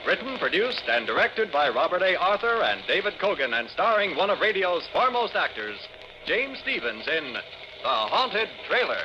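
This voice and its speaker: A man's voice: high voice, big pitch swings, normal speed. A man announcing a 1950s radio drama in a scripted, declamatory style. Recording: dull, noisy 1950s radio recording.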